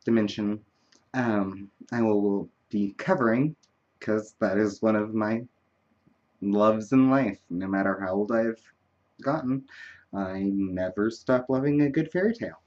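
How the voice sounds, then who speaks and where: A man's voice: fairly low pitch, a little mellow, highs cut off. A man hosting a makeup tutorial, chatting casually in a wandering, self-deprecating way. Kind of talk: casual rambling tutorial chat.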